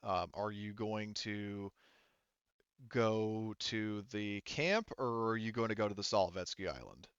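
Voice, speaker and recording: A man's voice: lowish voice, a little slow, fairly bright tone, clean tone, dry recording, dull audio. A man casually running a tabletop roleplaying game as game master, thinking aloud in an informal way.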